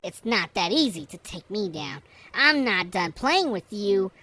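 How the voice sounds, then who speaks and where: A man's voice: sits high, big pitch swings, a little slow, sharp tone, voice pushed up, heavily acted. A man voicing a playful, unhinged girl fighter in a teasing, giggly sing-song for a fan fiction audiobook. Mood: playful menace.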